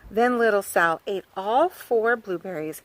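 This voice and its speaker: A woman, a children's author, reading a picture book aloud outdoors by her farm garden, talking to young listeners in an animated, sing-song way and asking them questions. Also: mid-range voice, unhurried, dry recording, rough recording.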